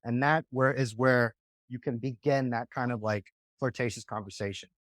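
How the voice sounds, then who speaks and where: A man's voice: medium pitch, steady tone, normal speed, a bit bright, no echo, studio quality. A man coaching on dating in a podcast interview, talking casually and often checking in with "right?".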